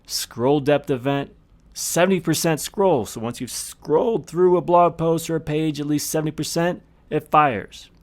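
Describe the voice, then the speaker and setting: A man's voice: on the high side, moderate pace, a little mellow. A man hosting a podcast, explaining tips in a scripted monologue with emphatic, short punchy phrases. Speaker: podcast host.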